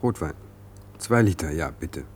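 A man's voice: deep voice, lively intonation, a little slow, darkish tone, husky, full character voice. A man playing a wry homeless man in a German crime radio drama, courteous but needling and insistent.

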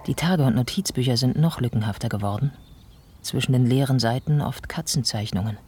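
A detached male narrator, voiced by an actor in a radio play. He describes scenes soberly in the present tense, at a middle pitch. Faint ambient sound sits behind the observant, scripted delivery. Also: brisk, warm tone, a little hiss or hum, close to an everyday voice.

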